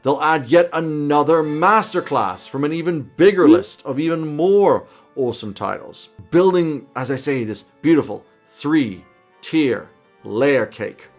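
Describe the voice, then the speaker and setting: A man's voice: fairly high pitch, animated, a little slow. Emphatic man over background music. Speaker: tabletop roleplaying video host. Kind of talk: scripted commentary to camera. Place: small home office, indoors.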